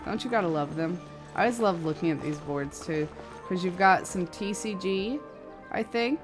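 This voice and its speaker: A woman doing casual live game commentary. Her voice is on the low side and her talk is chatty and full of slang. Game music plays clearly behind her. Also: animated, moderate pace. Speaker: game streamer. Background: video game music.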